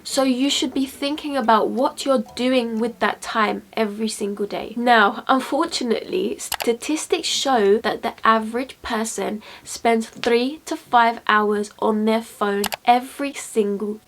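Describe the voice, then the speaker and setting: A young woman talks in a chatty, upbeat way, straight to her viewers. Her nose is blocked and a little sniffly. Her voice sits a bit higher than most women's. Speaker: finance vlogger. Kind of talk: casual talking-to-camera advice.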